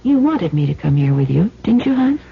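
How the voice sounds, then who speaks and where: An actress playing a worried, practical wife in an old-time radio drama, earnestly pressing with questions. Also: female voice, lowish voice, sing-song, brisk, gravelly, a little hiss or hum. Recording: muffled old radio recording.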